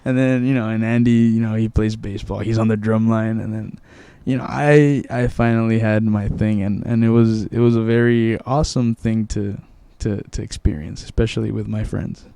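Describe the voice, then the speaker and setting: A man's voice: lowish voice, fairly even intonation, normal speed, creaky, few pauses, warm tone, clean tone, no echo. A man in his twenties, born in Mexico with Spanish as his first language, chatting casually on a podcast and often repeating words as he recalls. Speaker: guest.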